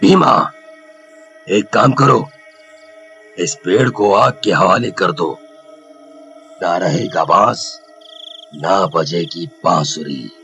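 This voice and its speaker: A resolute village headman with a deep voice. He speaks slowly and firmly, with authority, in animated-story style. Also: gravelly, soft background music, full character voice. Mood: determined. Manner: firm, authoritative. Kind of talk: Hindi animated story acting.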